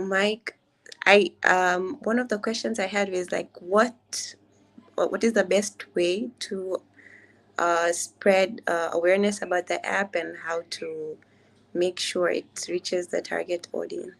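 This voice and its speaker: A woman from Tanzania with a Tanzanian accent, a doctor explaining her app on a video call, often restarting words and slowing on key points. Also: lowish voice, fairly even intonation, creaky, rough recording. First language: Swahili. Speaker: doctor pitching a health app. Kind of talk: video call mentoring session.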